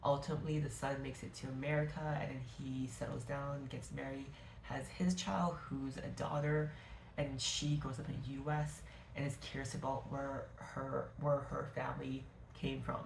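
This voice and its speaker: A woman's voice: very deep voice, flat delivery, normal speed, gravelly, slight background noise. Gushing woman reviewing books. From Canada. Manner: gushing, rambling, self-correcting. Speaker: book vlogger. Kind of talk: casual book review to camera. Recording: echoey room.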